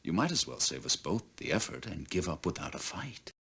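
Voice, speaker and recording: Deep, taunting game villain. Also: male voice, sing-song, a little fast, creaky, a bit bright, breathy edge, exaggerated voice. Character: menacing male nightmare villain, taunting and contemptuous. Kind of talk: video game cutscene acting.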